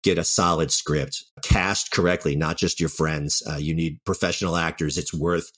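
A man's voice: a bass voice, fairly even intonation, normal speed, fairly bright tone. A man speaking casually and earnestly in an interview as a guest writer and director, often telling anecdotes.

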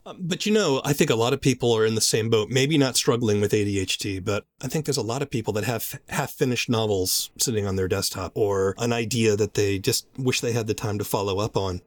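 A man's voice: on the low side, a little fast, hardly any pauses. A man hosting a conversational interview, speaking in a reflective and reassuring way. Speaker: interviewer, host.

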